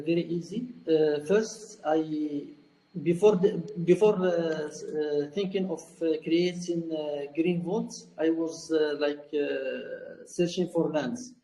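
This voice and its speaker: A man from Morocco, speaking English as a second language with many pauses and fillers, as a plantation founder in an online AMA. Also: a little high, mellow, low-quality recording. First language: not English. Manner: halting, many fillers and restarts.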